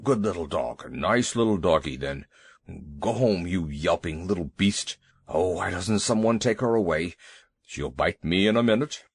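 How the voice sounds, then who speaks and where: A man putting on a great fretful bull elephant: slow and deep, grumbling and pompous, a storybook beast who is easily rattled. The voice rolls up and down. It sounds dry and close. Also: lively intonation, husky, no echo, full character voice. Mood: pleading. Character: huge timid male elephant, pompous and fussy.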